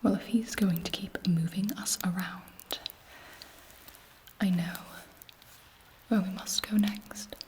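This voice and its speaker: An imperious vampire queen, played by a woman in an ASMR roleplay, speaking in hushed whispers at a very slow pace. She is haughty and sardonic, shaping formal phrases with seductive, scheming deliberation. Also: mid-range voice, fairly even intonation, natural voice.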